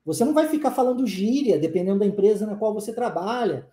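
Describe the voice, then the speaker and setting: A man in his forties with a soft, mellow voice teaches a live online class. He talks emphatically, pushing his argument and repeating rhetorical questions to the viewers. The room around him rings with a noticeable echo. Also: high-pitched, expressive, quick pace. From born in Brazil, raised in the United States. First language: English. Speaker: English teacher.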